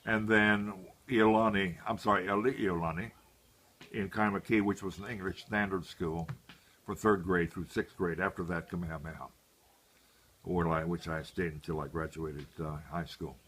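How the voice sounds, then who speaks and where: A man in his seventies from Hawaii reminiscing about family in an unscripted interview, halting and deliberate with long pauses. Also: deep voice, steady tone, fairly bright tone, slightly rough. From Hawaii, United States. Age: 70s. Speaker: interviewee, grandfather.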